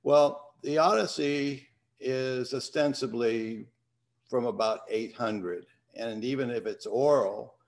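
An older man hosting from his home study over a video call, talking in a reflective way and reading a poem aloud. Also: medium pitch. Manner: unhurried, reflective. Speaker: host. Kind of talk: casual talk and poetry reading. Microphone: video call webcam.

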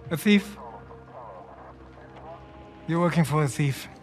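An actor playing a menacing, mock-courteous rebel warlord in a video game, theatrical and taunting in his deliberate phrasing. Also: on the high side. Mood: scornful. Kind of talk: cinematic video game acting. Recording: dramatic music behind.

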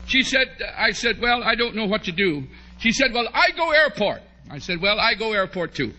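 High, forceful American preacher man. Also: low-quality recording. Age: middle-aged. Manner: animated, humorous anecdotal telling with drawn-out emphasis. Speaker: preacher, bishop. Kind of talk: storytelling sermon to a congregation. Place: large church hall, at a pulpit before a congregation. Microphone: podium microphone on a stand.

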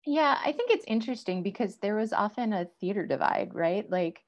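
A woman's voice: medium pitch, expressive, a little fast, no echo. A woman in her thirties or forties talking informally as a panelist on a video call. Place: home office, video call.

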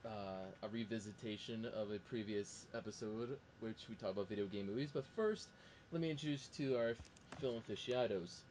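A man's voice: lowish voice, a little fast, darkish tone. A man hosting a podcast with casual banter, introducing and chatting with his panel. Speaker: podcast host. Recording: faint background noise.

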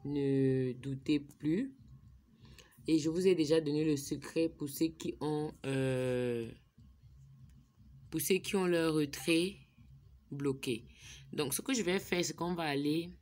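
A woman's voice: low voice, takes it slowly, pauses often, darkish tone. A woman narrating a phone screen recording as a tutorial, thinking aloud as she types in numbers and sometimes dropping to a murmur. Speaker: vlogger.

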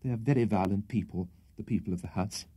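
A man's voice: fairly low pitch, fast, dark tone, husky. A man voicing an anxious, miserable young host in a storybook reading, pleading with strained politeness. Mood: miserable, placating. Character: anxious, miserable young male host cowed by his landlady.

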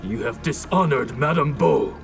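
A man's voice: mid-range voice, animated, normal speed, music in the background, lightly acted. A man voicing a grave male warrior bound by honor in a video game, speaking in a solemn way.

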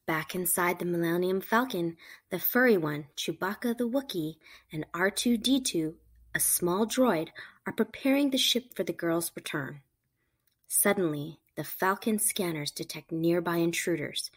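A woman reading a picture book aloud to children, with animated, emphatic storytelling delivery. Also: lowish voice, a little slow, slightly rough. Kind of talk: expressive read-aloud narration for children.

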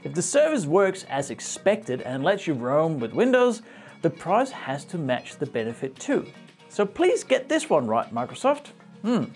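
Animated male tech news host, music behind. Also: a little high, unhurried. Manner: animated, playfully wry. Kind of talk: scripted tech news presenting to camera. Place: small indoor studio. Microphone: lapel mic.

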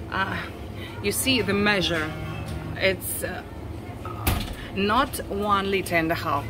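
A Russian woman with a Russian accent, talking casually as a vlogger while she walks through a grocery store, halting often with "uh" and restarts. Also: fairly low pitch. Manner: unhurried, halting, frequent uh and restarts. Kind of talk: casual shopping vlog walk-through. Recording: clear store background noise.

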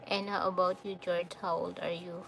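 A Filipino woman in her thirties chatting slowly and softly with viewers during a phone live stream at night. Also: lowish voice, little pitch movement, gravelly, slight background noise, rough recording. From Philippines. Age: 30s. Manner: slow, soft-spoken, thanks viewers often. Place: dim room at night, indoors. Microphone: phone held close.

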